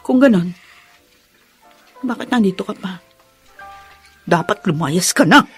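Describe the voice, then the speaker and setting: An actress playing a bitter, accusing young wife in a melodramatic radio drama, tearful and full of anger. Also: female voice, medium pitch, lively intonation, a little slow, husky, soft background music. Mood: cold anger. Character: bitter young wife raging at her father-in-law.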